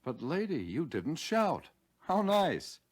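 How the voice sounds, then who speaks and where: Animated American diner customer. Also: male voice, on the high side, slow, heavily acted. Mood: impressed. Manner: musing, agreeable. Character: agreeable, curious male lunch counter customer. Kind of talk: scripted 1960 training film acting. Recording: 1960 training film soundtrack.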